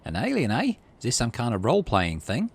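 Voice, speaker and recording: A man voicing a gruff, skeptical female colonist in a game, with a dismissive manner. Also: mid-range voice, wildly swooping pitch, a little fast, full character voice.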